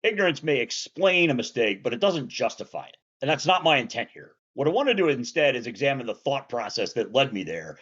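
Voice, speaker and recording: Earnest guy from south central pennsylvania, measured reflective commentary, kinda muffled audio. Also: big pitch swings, a bit bright, husky. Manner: earnest, measured, trails off low at line ends. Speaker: commentator on law and politics.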